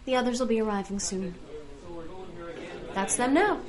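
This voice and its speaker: A woman's voice: fairly high pitch, lively intonation, moderate pace, a crowd in the background, natural voice. A woman playing a breezy, wry celestial court aide in an audio drama, casual, teasing and matter-of-fact.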